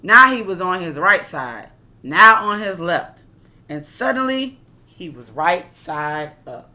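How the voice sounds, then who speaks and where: A woman's voice: fairly low pitch, big pitch swings, takes it slowly, sharp tone. A woman reading a picture book aloud like a teacher, expressively, with casual asides that explain and question her listeners.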